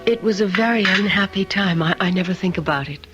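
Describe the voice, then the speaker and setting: An actress playing a guarded, enigmatic young bride with a reserved, measured manner in an old-time radio drama. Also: female voice, medium pitch, lightly acted. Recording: dull old radio recording, music behind.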